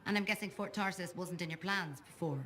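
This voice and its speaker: An actress playing a friendly, down-to-earth mechanic at a sci-fi fort in a video game, warm and familiar in conversation. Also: female voice, on the low side, brisk. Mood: friendly, candid.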